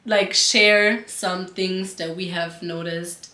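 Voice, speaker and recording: A woman's voice: fairly low pitch, steady tone, slow, pushed and bright. A young woman whose first language is German, co-hosting a casual couple's chat in a chatty, rambling way with frequent giggles. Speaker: co-host. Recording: echoey room.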